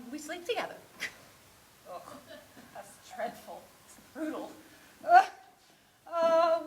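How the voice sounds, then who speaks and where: Woman acting a nervous lovelorn mistress, high voice, wildly swinging intonation, flustered, self-scolding, stage monologue. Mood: awkward, distressed. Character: anxious, clumsy, lovelorn mistress rehearsing a dreaded phone call. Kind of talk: theatrical solo monologue. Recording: echoey room with faint noise.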